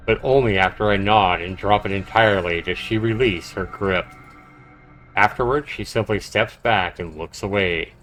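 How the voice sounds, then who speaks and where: A man's voice: on the low side, a bit bright. A man livestreaming a horror visual novel, reading it aloud dramatically and chatting casually about it. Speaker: livestreamer playing and narrating a game.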